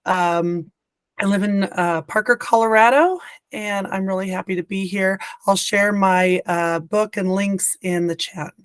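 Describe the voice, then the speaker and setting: A middle-aged woman author introducing herself on an online panel, her voice a little raspy from a cold. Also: mid-range voice, steady tone, a little slow, dry recording. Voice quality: slightly raspy. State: getting over a cold. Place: video call.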